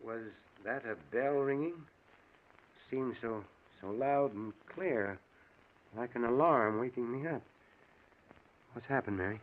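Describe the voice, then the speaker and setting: An actor playing a determined, stern middle-aged doctor with an earnest, authoritative manner in an old-time radio mystery. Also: male voice, mid-range voice, unhurried, pauses often, natural voice. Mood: dazed. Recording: tinny, noisy old radio recording.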